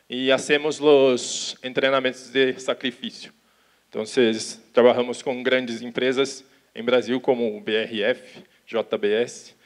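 A young man from Brazil with a Portuguese accent, giving a conference talk in halting Spanish on an auditorium stage, talking slowly with restarts. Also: mid-range voice. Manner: slow, careful, with restarts and Portuguese words. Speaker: conference speaker. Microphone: handheld mic.

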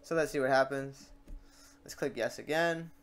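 A man walks through a software tutorial in a loose, chatty way. His pitch sits in an ordinary middle range. He thinks aloud, restarts sentences and talks himself through his own slip-ups. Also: moderate pace, smooth. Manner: rambling, talks through mistakes as he works. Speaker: tutorial host. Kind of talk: casual screen-recorded software tutorial.

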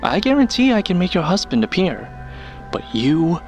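An actor playing a coaxing, theatrical spirit medium in an audio drama, with a showman's drawn-out mystical delivery. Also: high voice, big pitch swings, a little mellow, dull audio. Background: music.

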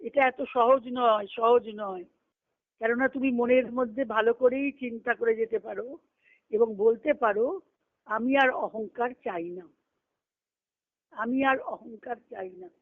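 A woman's voice: a little high, steady tone, unhurried, long pauses. A woman giving a devotional lesson as a spiritual teacher, reading and explaining in an instructive way, repeating phrases for emphasis. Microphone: conference call line. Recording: muffled, narrow phone-like conference call.

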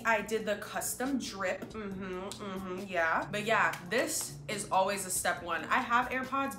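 A young woman with a stuffy nose, chatting upbeat to her viewers as a fitness vlogger, sitting on a couch in a small room. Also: on the low side. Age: young adult. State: stuffy nose from a sinus infection. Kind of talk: casual vlog show-and-tell. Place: small bedroom with a couch, indoors. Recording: roomy echo, faint music behind.

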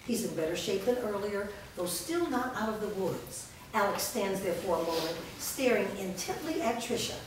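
Female stage directions reader: mid-pitched scripted narration, heavy room echo, noisy recording. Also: lively intonation, normal speed, hardly any pauses. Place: bare indoor room with small audience. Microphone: far camera. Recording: echoey room, distant mic, noisy.

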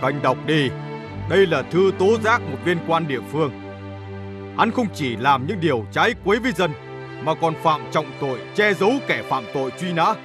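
A stern and just king from a historical cartoon, played by a man. His voice is high for a man and swings widely as he gives formal, regal commands. Music plays clearly behind him. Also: expressive, moderate pace, close to an everyday voice. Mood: grave, authoritative.

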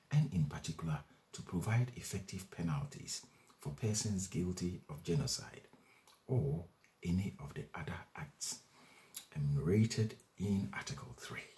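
Man in his forties with a very deep voice, legal explainer, measured delivery with fillers, recorded on a webcam in a small room at home. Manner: measured, with frequent fillers and restarts. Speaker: legal educator. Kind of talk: explaining and reading out a legal text. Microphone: webcam at desk distance.

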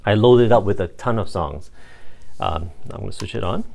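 A man giving a casual, unscripted hands-on product review in a halting, think-aloud manner. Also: fairly low pitch, vocal fry, darkish tone.

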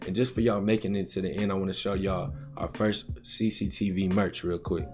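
Low-voiced young man, slangy streamer. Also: fairly even intonation, fairly quick. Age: young adult. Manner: slangy, conversational, addressing chat. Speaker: gaming video host reacting to cutscenes. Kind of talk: casual reaction commentary to viewers. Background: music.

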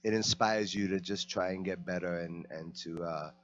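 New man, very low voice, hesitant, lots of ums, handheld mic, dull lo-fi sound. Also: steady tone, normal speed, fairly bright tone. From the Bronx, New York. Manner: hesitant, many ums and uhs. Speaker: actor guest. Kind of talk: casual interview talk.

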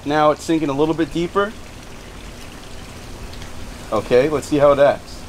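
A young man hosting a fishing channel, chatting casually to viewers outdoors and getting excited as he fishes. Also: on the high side, normal speed, slight background noise. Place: outdoors by a lake and a pool.

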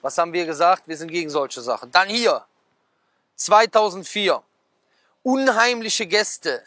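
A man in his thirties speaks outdoors at a garden table, his voice sitting high for a man and recorded dry and close on a clip-on mic. He reads headlines aloud and then bursts into quick, gesturing asides, tossing rhetorical questions at his audience. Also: expressive, bright, forceful tone, no room sound. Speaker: preacher. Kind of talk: casual talk, reading out magazine headlines.

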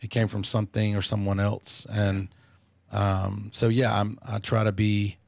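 A man in his fifties speaks in a lowish, gravelly voice, measured and thoughtful, restarting often. He talks into a studio boom mic in a small podcast studio, and the sound is close and dry. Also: flat delivery, no echo. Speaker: podcast guest. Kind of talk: reflective podcast talk. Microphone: studio microphone on a boom arm.

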